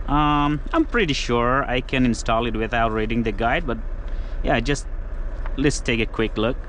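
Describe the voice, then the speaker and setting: A man talking casually and haltingly, thinking aloud as he gives a hands-on product demo. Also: medium pitch, fairly bright tone, slight background noise. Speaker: trucker reviewing gear.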